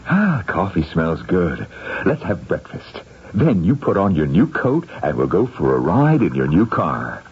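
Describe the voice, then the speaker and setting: An actor playing a hesitant, placating middle-aged husband in an old-time radio drama, with a rough, husky voice. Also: male voice, deep voice, very animated, measured pace, exaggerated voice. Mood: cheerful. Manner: hesitant, mild. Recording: muffled old radio recording.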